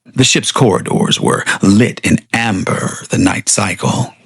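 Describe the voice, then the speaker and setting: A man's voice: lowish voice, unhurried, vocal fry, slightly rough, no room sound. A man narrating an audio drama as its host, in a theatrical manner and speaking straight to the listener. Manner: theatrical, addresses the listener directly.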